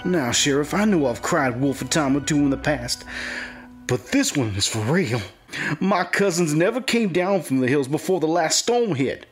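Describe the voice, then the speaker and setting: A man's voice: a little high, lively intonation, normal speed, faint music behind, pitched above the performer's own voice, lightly acted. A man voicing an anxious, folksy townsman who pleads insistently in an audio drama. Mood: panicked, pleading.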